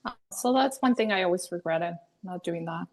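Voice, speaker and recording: A woman's voice: on the low side, fairly quick. A young woman from Venezuela chats as a podcast guest. She talks loosely and openly, laughing often and restarting her sentences. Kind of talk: casual interview conversation.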